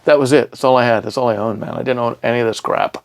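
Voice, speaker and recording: A man's voice: medium pitch, fairly even intonation, quick pace, vocal fry. A middle-aged man with a British accent, a studio engineer chatting informally as an interview guest, peppering his stories with "man" and "you know". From born in Milan, grew up in England and Scotland. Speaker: podcast guest, recording engineer and studio owner. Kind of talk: casual interview storytelling. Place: recording studio.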